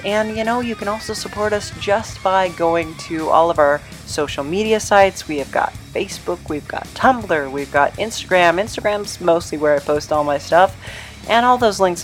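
A woman hosting a podcast in a casual, chatty, informal way, rambling a little. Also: on the low side. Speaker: podcast host and creator.

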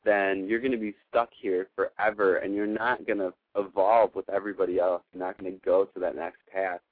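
A man's voice: low voice, little pitch movement, fairly quick, no room sound. A man hosting a call-in advice show, talking in an earnest, affirming and emphatic way in a spiritual coaching style. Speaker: radio show host giving advice to callers. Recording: narrow, phone-like radio sound.

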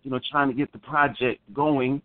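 Male guest, easygoing, halting, dry tinny phone call. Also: mid-range voice, steady tone, no echo. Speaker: musician guest. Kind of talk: casual phone interview.

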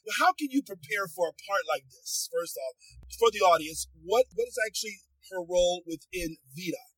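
A man's voice: high-pitched, expressive, moderate pace, fairly bright tone, slightly rough, no room sound, rough recording. A man hosting an interview, asking long rambling questions in a chatty way. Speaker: interviewer host. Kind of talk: casual interview.

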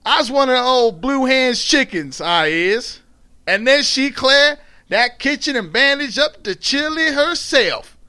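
Man putting on a high-voiced proud old mother. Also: lively intonation, sharp tone, heavily acted. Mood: proud, riled. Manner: halting, folksy dialect storytelling. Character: elderly formerly enslaved mother telling her story, proud, sorrowful. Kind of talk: dramatic read-aloud of a dialect tale.

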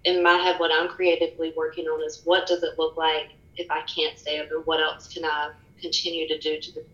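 A soft-spoken woman guest on a podcast, speaking candidly and reflectively in casual conversation. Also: deep voice, level tone, fairly quick, echoey room, lo-fi sound. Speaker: podcast guest, fitness studio owner. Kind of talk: casual interview chat.

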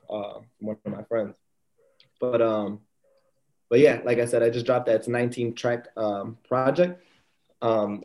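Male guest musician, low mellow voice, easygoing talk full of ums. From Puerto Rican family. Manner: easygoing, rambling, many ums. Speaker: guest rapper. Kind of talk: casual interview.

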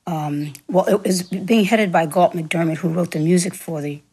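A woman singer and stage actress, a guest recounting her career in a relaxed interview, laughing often and repeating words for emphasis. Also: lowish voice, moderate pace, slightly rough. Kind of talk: relaxed reminiscing interview talk.

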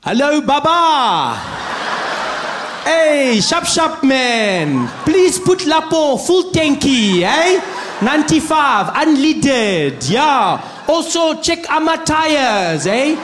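Condescending customer, high-pitched, loud baby-talk, put-on accent, stand-up comedy bit, some background noise. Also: male voice, sing-song, drawn-out words, echoey room, exaggerated voice. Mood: patronising, chummy.

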